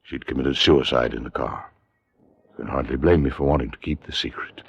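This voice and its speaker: An actor playing a guilt-ridden, middle-aged married man with a gravelly, husky voice in a 1950s radio drama. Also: very deep voice, fairly quick, no echo, heavily acted. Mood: resigned, confessing. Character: guilt-ridden married man confessing, stern with his wife. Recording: dull vintage radio recording.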